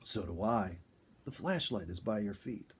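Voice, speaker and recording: A man playing a good-humored outdoorsman husband in a storybook, folksy and animated. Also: on the low side, a little slow, breathy edge, heavily acted. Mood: wry, curious.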